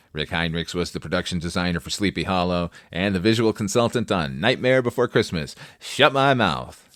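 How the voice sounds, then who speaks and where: A man's voice: sits low, a bit bright, dry recording, studio quality. A man hosting a film review podcast, delivering conversational, scripted commentary in a wry, opinionated way with emphatic asides. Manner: casual swearing.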